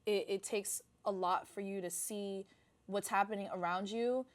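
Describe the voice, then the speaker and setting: A woman's voice: medium pitch, fairly even intonation, moderate pace. Reflective young woman co-host. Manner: reflective, a little searching, many fillers. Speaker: podcast co-host. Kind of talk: casual discussion.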